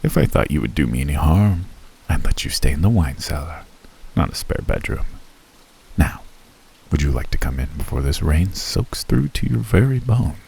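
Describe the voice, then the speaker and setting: A man voicing a reclusive, wealthy elf who talks in a genteel, teasing and softly menacing way, in an ASMR roleplay. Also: a bass voice, expressive, normal speed, gravelly, slight background noise, full character voice, low-quality recording. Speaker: voice actor.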